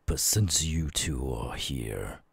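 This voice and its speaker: A man playing a grim, solemn demon-hunting swordsman in an anime audio roleplay, speaking in a grave, measured way. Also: a bass voice, expressive, creaky, breathy edge, no echo, exaggerated voice. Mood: solemn, resolute.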